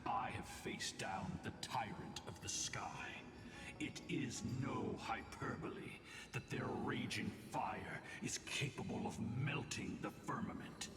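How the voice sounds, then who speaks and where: An ancient, solemn game guardian with a low, breathy voice. He speaks very slowly and gravely, in a big echoing space under music. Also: lively intonation, whispered. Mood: solemn warning. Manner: slow, solemn, formal. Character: grave, ancient, weary male titan lord, solemn guardian. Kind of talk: scripted game drama. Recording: echoing hall, distant, game music behind.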